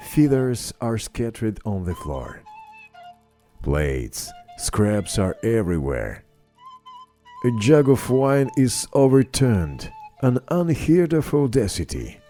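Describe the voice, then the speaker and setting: A man narrating a children's fairy tale in an expressive, scripted storytelling style. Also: mid-range voice, slow, soft background music.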